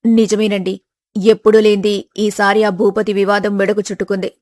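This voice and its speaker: A woman's voice: medium pitch, flat delivery, normal speed, darkish tone, dry recording, studio quality, lightly acted. A woman voicing a worried, weary village mother-in-law who frets and complains, in a family story. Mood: concerned. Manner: fretful, complaining.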